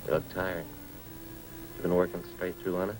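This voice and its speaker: A man playing a gentle, friendly wounded policeman in hospital in an old radio drama, speaking in a subdued, halting way. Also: sits low, little pitch movement, natural voice. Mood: weary. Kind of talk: 1950s radio drama acting. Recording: noisy old radio recording.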